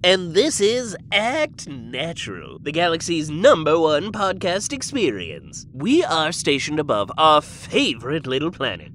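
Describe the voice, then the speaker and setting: A gleeful alien podcast host in a sci-fi audio drama. Her voice is on the low side and swoops dramatically, slow and theatrical, with a faint noise behind. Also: wildly swooping pitch, a bit bright, full character voice. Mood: showy, playful.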